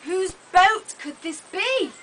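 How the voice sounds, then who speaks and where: Casting: woman storyteller for young kids, bright forceful voice, unhurried simple phrasing, live camera recording with background noise. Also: very high-pitched, expressive. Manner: exclamatory, dramatic gasps, simple short phrases for young children. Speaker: puppet show narrator. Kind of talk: live children's storytelling with audience questions. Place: open-sided thatched hall with audience of children. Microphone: camera microphone from audience. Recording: noisy open-air hall recording.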